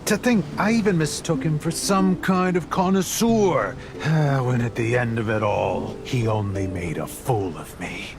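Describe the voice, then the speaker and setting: An actor playing an indignant travelling merchant in a game, grumbling in a wordy, melodramatic way. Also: male voice, mid-range voice, lively intonation, measured pace, over music. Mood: aggrieved, indignant. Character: indignant, long-suffering travelling merchant man, theatrically aggrieved. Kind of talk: theatrical game voice acting.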